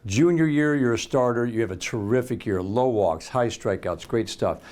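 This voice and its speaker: Chatty American sports broadcaster interviewing. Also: male voice, medium pitch, normal speed, darkish tone. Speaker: baseball play-by-play broadcaster and interviewer.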